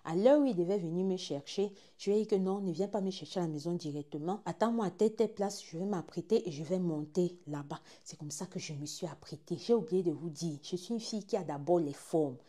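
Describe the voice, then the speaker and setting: Twenties woman, Gabonese French accent, low mellow tone, animated storyteller with hushed asides. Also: fairly quick, hardly any pauses. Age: 20s. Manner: animated, swings from hushed asides to loud exclaims, repeats phrases. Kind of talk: dramatic first-person storytelling.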